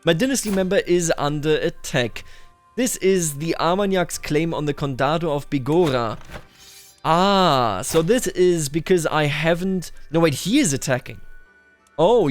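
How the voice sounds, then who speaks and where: A man giving unscripted roleplay commentary over a strategy game, musing aloud as a ruler in measured, formal wording. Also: on the high side. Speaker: gaming video host. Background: music behind the voice.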